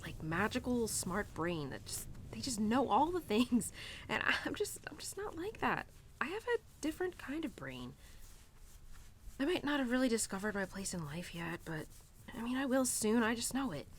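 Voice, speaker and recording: A woman's voice: fairly high pitch, big pitch swings, normal speed, a little hiss or hum. A young woman playing an earnest, rambling cowgirl who confides in her horse in an intimate roleplay audio, hesitant and giggly, with stammers and restarts. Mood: frustrated, self-doubting.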